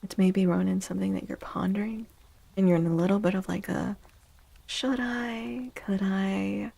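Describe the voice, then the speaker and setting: A woman speaks in a gentle ASMR hush that often drops to a whisper. Her voice is dark and soft, with a creaky, breathy grain. She sounds like a host reading cards for her viewers, unhurried and soothing. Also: mid-range voice, steady tone, no echo.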